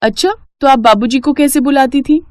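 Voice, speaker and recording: A woman's voice: sits high, moderate pace, a little mellow, no room sound, voice pushed up, natural voice. A woman voicing a young city-bred daughter-in-law in a cartoon, earnest and outspoken, speaking politely and eagerly. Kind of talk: Hindi moral-story cartoon acting.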